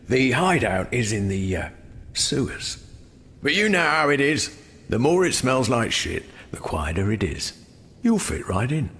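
An actor playing a cheeky, chatty male underworld informant in a video game, teasing and streetwise. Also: fairly bright tone. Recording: long reverb, faint background noise and rumble.

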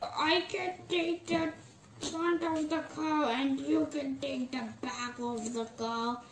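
A woman voicing a cunning, bossy elder brother puppet with a childlike, deliberate manner. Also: sits high, fairly even intonation, reverberant. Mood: sly.

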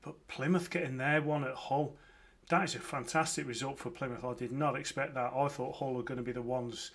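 A man's voice: medium pitch, steady tone, a little fast, a bit bright. A man in his thirties from Lancashire with a Birmingham accent, giving a casual, enthusiastic football match reaction from home. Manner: chatty, enthusiastic, frequent fillers and restarts. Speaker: football podcast host. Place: home room with framed shirts, indoors.

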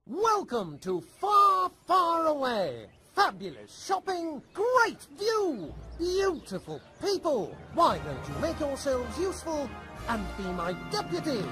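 A man's voice: very high-pitched, wildly swooping pitch, slow pace, bright, forceful tone, soft background music, exaggerated voice. An actor playing a pompous older king in a video game, proclaiming grandly. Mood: grand, welcoming. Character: pompous, booming fairy-tale king, older man.

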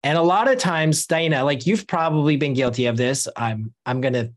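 A young man hosting a video call, coaching a sales team in an animated, energetic way from a room at home. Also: on the high side, normal speed. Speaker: host.

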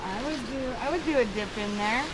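A woman's voice: fairly high pitch, brisk, crowd noise, rough recording. A woman talking in a friendly, upbeat way with a stranger while walking outdoors. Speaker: fellow tourist. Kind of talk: casual conversation. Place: outdoors on a forest trail. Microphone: picked up by someone else's handheld camera. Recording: outdoor camera audio with steady background noise.

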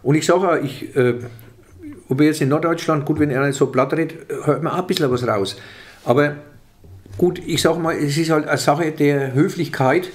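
Older man, Franconian accent, fairly high-pitched, talkative with frequent restarts, guest in interview. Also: normal speed. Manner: chatty, lots of restarts, gestures while talking. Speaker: comedian guest. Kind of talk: casual interview chat. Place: small indoor office room. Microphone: table microphone.

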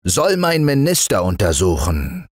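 A man's voice: deep voice, huge pitch swings, a little slow, dry recording, studio quality, full character voice. A man voicing an angry male wolf who rules as king, speaking in a stern, curt way.

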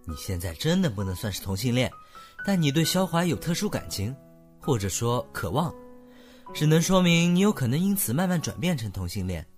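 A man's voice: mid-range voice, very animated, brisk, soft background music, natural voice. A man acting an unflappable, blunt young man who counsels and teases a friend in a Mandarin radio drama, matter-of-fact in manner. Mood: explaining calmly. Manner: unfazed, matter-of-fact, teasing.

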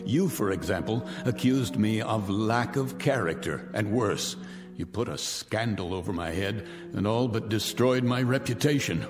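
An actor playing a bitter, vengeful millionaire in a radio drama, speaking with sardonic, icy taunts. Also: male voice, on the low side, a little slow, in a large hall, over music, lightly acted. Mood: cold mocking. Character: bitter vengeful male millionaire, cold and mocking.

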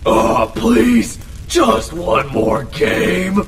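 Man voicing a high, childish, playful dragon. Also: sing-song, extremely slow, full character voice. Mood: pleading. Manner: eager, pleading. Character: childish, playful, naive pestering dragon creature. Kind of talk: fan parody cartoon dub. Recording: echoey, with music behind.

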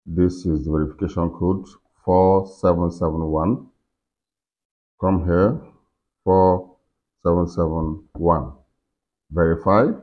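Low male voice, forties, casual tutorial host, step-by-step talk, slightly muffled recording. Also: fairly even intonation, slow, pauses often, a little mellow. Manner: talking himself through each on-screen step. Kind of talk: casual software walkthrough. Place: indoors in a furnished room. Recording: slightly dull, lo-fi sound.

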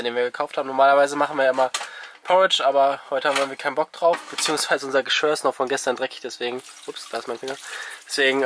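A man's voice: a little high, noisy background. A man in his twenties chatting casually and enthusiastically to camera as a travel vlogger. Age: 20s. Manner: enthusiastic, colloquial. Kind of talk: casual vlog chat. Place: mostly inside a small camper van. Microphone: camera mic at arm's length.

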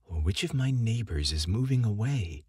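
A narrator voicing a pensive, curious man, slightly husky. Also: lowish voice, lively intonation, moderate pace, darkish tone, no room sound, studio quality. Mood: wondering. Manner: wondering, musing to himself. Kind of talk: storybook character dialogue.

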